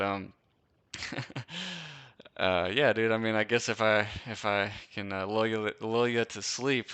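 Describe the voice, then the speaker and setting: A young man from Wisconsin hosts a casual live-stream Q&A, his voice bright and crisp and pitched a little low. He talks loosely and slangily, tossing in "dude" and "bro" and laughing often. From Wisconsin, USA. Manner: laid-back slangy chat, lots of "dude" and "bro", frequent laughs. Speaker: host.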